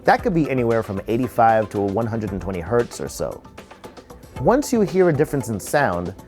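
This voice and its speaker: A man narrates an instructional tutorial as its presenter, explaining things step by step. His mid-pitched voice moves with lively intonation. Faint music sits behind him. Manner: explanatory, measured step-by-step delivery.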